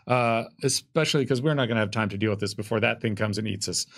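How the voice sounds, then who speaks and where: A man's voice: fairly low pitch, fast, fairly bright tone. A middle-aged man serves as game master at a tabletop game. He narrates and gives rulings in loose, conversational phrasing, often feeling his way through the wording. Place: indoor studio, seated at a game table.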